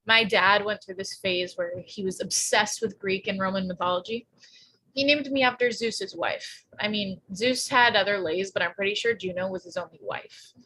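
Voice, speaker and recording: A woman's voice: medium pitch, moderate pace, pushed and bright, poor audio. A woman playing a blunt, wisecracking pregnant teenage girl in a script table read. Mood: dry, chatty.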